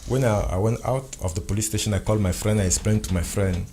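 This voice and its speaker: A man with a lowish voice whose first language is French tells a long personal story in English. He repeats himself and restarts phrases as he insists on each point. A faint hiss of noise sits behind him. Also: fast, warm tone. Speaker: guest. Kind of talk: recounting a personal ordeal in conversation.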